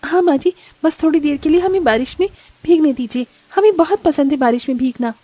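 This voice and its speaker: A woman's voice: high voice, a little fast, mellow, no room sound, lightly acted. A woman voicing an earnest, polite young daughter-in-law in a cartoon story. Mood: pleading. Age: young adult. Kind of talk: Hindi cartoon morality story acting.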